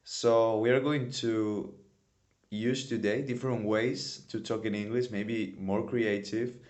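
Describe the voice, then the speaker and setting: Young spanish guy teaching english, lowish voice, keeps saying really really really. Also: darkish tone. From Spain. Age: 20s. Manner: non-native phrasing, repeats words for emphasis. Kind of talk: casual spoken lesson.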